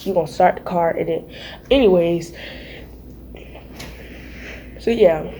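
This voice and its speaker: A raspy-voiced teenage girl talking casually about her faith at home, chatty and playful, calling her viewers y'all and baby. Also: on the low side, slow, mellow, a little hiss or hum. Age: teens. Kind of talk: casual faith vlog chat. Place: home, early morning.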